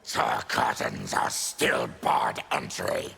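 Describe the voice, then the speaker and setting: An actor playing a grim monstrous mutant warrior in a video game, with a guttural, raspy growl. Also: male voice, extremely low pitch, fairly even intonation, slow pace, bright, forceful tone, exaggerated voice. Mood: grim, bitter. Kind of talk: video game character dialogue. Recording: long reverb.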